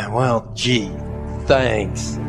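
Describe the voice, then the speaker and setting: An actor playing a bewildered, groggy and sheepish army sergeant in an audio drama, speaking haltingly. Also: male voice, mid-range voice. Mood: sheepish, worried. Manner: halting, confused. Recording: loud music bed under the voice.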